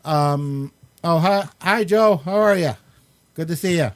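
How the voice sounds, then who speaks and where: A man's voice: fairly high pitch, unhurried. A man hosting a live online show, chatting casually, laughing often and using many fillers, over a computer mic on a video call. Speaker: host.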